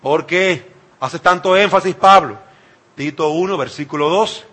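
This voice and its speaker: A man's voice: on the high side, measured pace, a bit bright. A man from the Dominican Republic, speaking with a Dominican Spanish accent, preaching an emphatic sermon and repeating phrases for effect.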